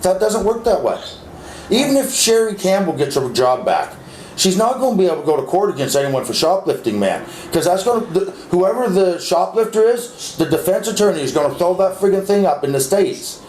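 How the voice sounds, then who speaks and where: A blunt, insistent man with a slightly high voice argues his opinions casually. He repeats himself and swears freely. The recording is echoey, with faint noise behind him. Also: sing-song, brisk, darkish tone. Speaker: interviewee. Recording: echoey, clearly noisy background.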